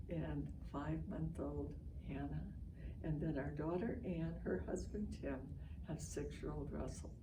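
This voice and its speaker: An older American woman giving an informal, instructive educational talk to families, with emphatic storytelling. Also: on the low side. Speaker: literacy educator hosting an online session. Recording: echoey, distant mic, noisy online stream.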